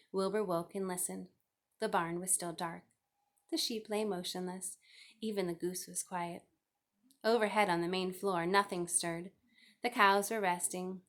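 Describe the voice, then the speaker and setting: A woman reading a children's novel aloud as scripted narration. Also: on the low side. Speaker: storybook reader.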